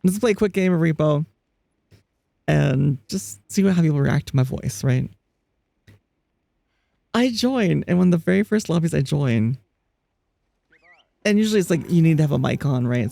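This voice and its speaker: Live streamer, casual chat, gravelly edge, dry close sound. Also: medium pitch, moderate pace, long pauses, mellow, no echo. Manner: chatty, laughs often, reads chat aloud; frequent restarts and fillers.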